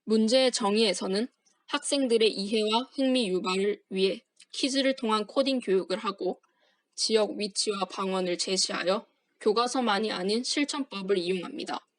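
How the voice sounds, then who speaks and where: A young woman, a university student, reading a formal project presentation aloud in a steady, scripted manner. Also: mid-range voice, normal speed, no echo, low-quality recording. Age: young adult.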